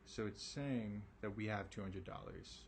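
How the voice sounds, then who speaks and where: A man with a low, raspy voice works through an algebra lesson, tired from the late hour. He keeps it casual and reassuring, checking in with lots of ums and okays. A faint noise sits behind him. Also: measured pace. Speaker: math teacher. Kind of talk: recorded math lesson.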